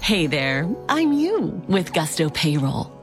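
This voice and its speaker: An actress playing a confident, upbeat woman pitching software in a scripted advertisement. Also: very animated, takes it slowly, music in the background, heavily acted.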